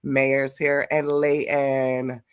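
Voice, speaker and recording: A woman's voice: very deep voice, level tone, extremely slow, clean tone. Woman, podcast co-host, stuffy from a cold, slangy, playful casual chat. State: congested from a cold.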